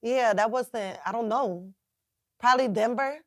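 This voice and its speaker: A raspy-voiced woman in her twenties from Chicago, chatting frankly as a guest about her music and swearing freely. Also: on the high side, measured pace, no echo, clean studio sound. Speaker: rapper and singer guest. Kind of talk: casual interview.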